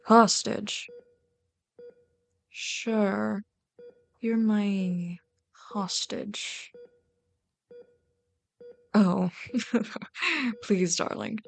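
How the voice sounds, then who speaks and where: A woman performs a commanding villainess in an audio roleplay. Her voice sits at a middle pitch, and she delivers everything with dry, theatrical mockery that has some care in it. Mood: teasing, taunting. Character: sardonic, commanding female villain, mocking yet caring. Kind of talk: ASMR audio roleplay drama.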